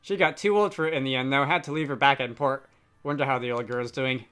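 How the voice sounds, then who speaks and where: A man's voice: mid-range voice, steady tone, quick pace, a bit bright, clean tone, faint music behind, lightly acted. A man voicing an easygoing, curious young sailor in a fantasy game, friendly and plain-spoken. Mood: fond.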